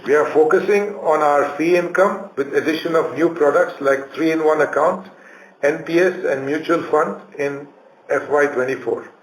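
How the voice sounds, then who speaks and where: Male corporate presenter, Indian accent, prepared earnings-call delivery, somewhat higher pitch, roomy sound. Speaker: company executive on an earnings call. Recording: roomy conference line with faint noise.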